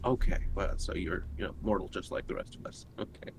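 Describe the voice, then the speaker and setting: Man, slightly deep voice, casual tabletop player chat, faint background noise. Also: fairly quick.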